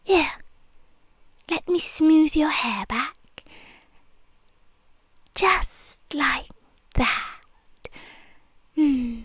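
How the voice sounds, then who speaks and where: A British woman playing a possessive nurse who whispers slowly and softly right at the ear. Her voice sits high, and the sound is close and completely dry, with no room around it. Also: no echo. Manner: slow, soft, intimate whispering close to the ear. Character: possessive female nurse, soft and controlling. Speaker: ASMR roleplay performer. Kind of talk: whispered ASMR roleplay.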